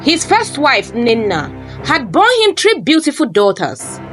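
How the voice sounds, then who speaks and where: A woman narrates a folktale as a scripted dramatic story. Her voice sits fairly high and moves with very lively intonation, with faint music underneath. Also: measured pace.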